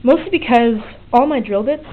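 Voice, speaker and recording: A woman's voice: on the high side, moderate pace. Woman explaining casually on phone-like, noisy audio. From outside the US. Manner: explanatory, conversational. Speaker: DIY electronics video maker. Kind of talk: informal hands-on tutorial narration. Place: indoors at a desk. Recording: muffled phone-like lo-fi audio, noticeable noise.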